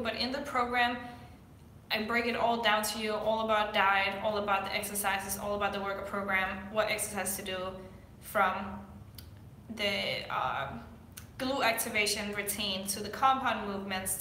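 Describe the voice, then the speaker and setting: A woman's voice: a little high, pushed and bright, slight background noise. A young woman from Denmark with a Danish accent, answering viewer questions as a fitness coach in a casual, chatty way with lots of fillers. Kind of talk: casual Q&A vlog. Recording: echoey room.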